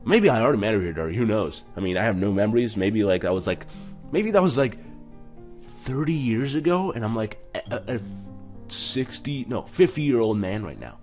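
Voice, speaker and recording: A man with a lowish voice hosts a casual let's play. He comments informally and stumbles a little when reading aloud. Faint music sits behind him. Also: very animated, moderate pace, darkish tone. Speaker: gaming video host.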